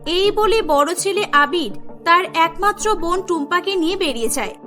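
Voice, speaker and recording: A woman's voice: very high-pitched, animated, moderate pace, music in the background. A woman narrating a scripted cartoon story.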